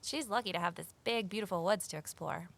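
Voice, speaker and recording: An actress playing a wary, determined amateur sleuth in a naturalistic audio drama, conversational and gently wry. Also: female voice, medium pitch, quick pace, vocal fry. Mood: friendly, curious. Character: Evelyn, wary determined former journalist turned amateur sleuth. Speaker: lead character and first-person narrator.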